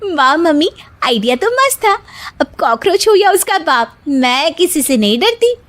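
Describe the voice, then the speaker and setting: Woman putting on a squeaky, whiny young daughter-in-law. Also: big pitch swings, moderate pace, a bit bright, exaggerated voice. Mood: cocky. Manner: whiny, pleading, talks back. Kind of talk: cartoon voice acting.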